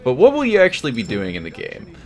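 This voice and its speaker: A man's voice: medium pitch, wildly swooping pitch, quick pace. A man giving wry, semi-scripted review commentary over video game footage, with a somewhat hesitant delivery.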